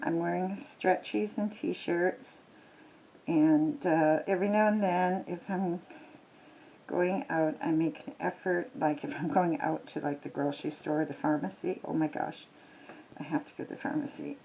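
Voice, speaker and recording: An older woman, retired, chatting casually with lots of ums while she works on a craft at home, her voice a little croaky. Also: fairly low pitch, steady tone, moderate pace, mellow, lo-fi sound. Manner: rambling, chatty, many ums and restarts. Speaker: crafting vlogger. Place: small home.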